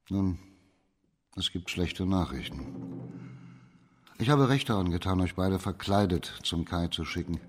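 Deep, grave judge in echoing hall. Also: male voice, slight background noise. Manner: formal, deliberate. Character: grave, authoritative male judge of high rank. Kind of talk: German radio drama acting. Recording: long reverb of a large hall.

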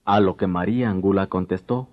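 A man's voice: deep voice, brisk. A man narrating a scripted tale dramatically as a radio drama storyteller. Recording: dull lo-fi 1990s radio recording, music behind.

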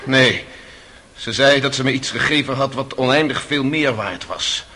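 A man's voice: medium pitch, normal speed, lightly acted. An actor playing an anguished, defiant man on trial in a radio drama. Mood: desperate, bitter. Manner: impassioned, desperate. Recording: noisy old radio play recording.

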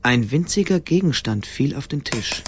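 A man's voice: mid-range voice, lively intonation, moderate pace, slightly rough. A man narrating a scripted fantasy radio play in German as its storyteller. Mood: composed, storytelling.

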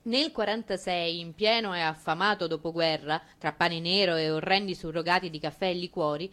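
A woman narrating a theatrical monologue as a stage performer, with an ironic, expressive manner. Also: lowish voice, moderate pace.

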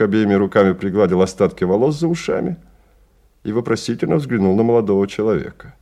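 An actor narrating a radio play as a calm storyteller, in measured, detached literary prose. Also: male voice, medium pitch, lightly acted.

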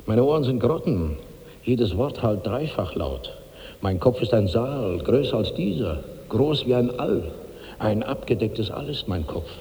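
An actor in a 1960s radio drama playing an obese, sickly, hungry old megalomaniac who speaks theatrically in broken, imperious phrases. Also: male voice, medium pitch, expressive, unhurried, dark tone, husky. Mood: suffering, brooding. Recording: hissy old radio recording, long reverb.

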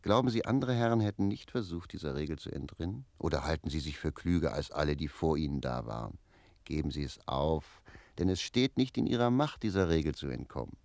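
Deep, cheeky philosophizing manservant. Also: male voice, lively intonation, a little fast, vocal fry, darkish tone, no echo, exaggerated voice. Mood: insistent. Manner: argumentative, philosophizing. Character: cheeky, self-assured manservant lecturing his master. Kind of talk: literary radio play dialogue.

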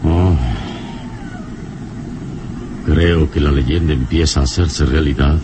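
An actor playing a heroic, commanding adventurer in a theatrical, musing manner, in an old radio serial. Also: male voice, rumbling low, fairly even intonation, slow, background noise. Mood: ominous, brooding. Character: heroic adventurer man, calm, brooding and commanding.

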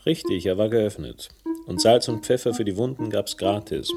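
Low-voiced, sardonic, world-weary drinker played by a man. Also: moderate pace, over music, natural voice. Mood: brooding. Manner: wry, self-mocking. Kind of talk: noir-like radio drama narration and dialogue.

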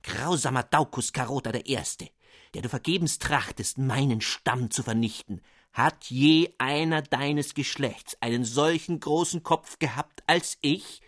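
A man voicing a small, boastful radish duke who speaks with slow defiance. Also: medium pitch, fairly bright tone, no room sound, studio quality. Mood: defiant. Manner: defiant, deliberate.

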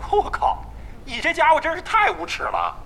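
A man's voice: very high-pitched, huge pitch swings, normal speed, gravelly, a little hiss or hum, exaggerated voice. A man playing a brash, cocky gamer in an audio drama, swaggering and slangy in manner. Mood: indignant. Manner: swaggering, loud-mouthed, slangy. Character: brash, cocky, shameless male gamer buddy. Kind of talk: comic audio drama acting.

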